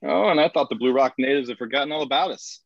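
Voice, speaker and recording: Casting: a male actor as a wisecracking, sarcastic colony boss in a sci-fi script reading, mid-pitched, muffled recording. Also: fast, creaky. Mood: sarcastic, joking. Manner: flippant, sarcastic. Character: cocky, irreverent male colonial governor who banters crudely. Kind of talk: screenplay table read.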